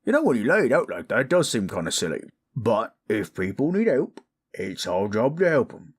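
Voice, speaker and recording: A man playing a gruff, weary but good-hearted orc adventurer in a fan audio drama, blunt and grumbling. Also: medium pitch, very animated, normal speed. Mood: resolute, wary.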